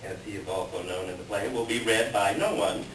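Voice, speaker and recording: A man introducing a staged play reading as announcer, reading aloud and speaking in a halting way. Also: lowish voice, a bit bright. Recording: echoey room, distant mic, clear noise.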